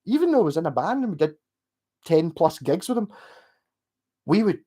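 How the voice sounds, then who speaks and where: A man's voice: fairly high pitch, big pitch swings, dark tone, breathy edge. A middle-aged man with a Glasgow accent chatting casually and at length, rambling through stories and reading out messages. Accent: Glasgow Scottish. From Scotland. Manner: rambling, chatty, swears and laughs, slows down when asked. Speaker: streamer reading chat. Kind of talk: casual chat.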